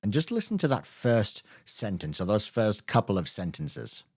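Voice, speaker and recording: Low-voiced man teaching grammar. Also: very animated. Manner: patient, slows down on key words. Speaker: English teacher. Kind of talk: explaining grammar to camera.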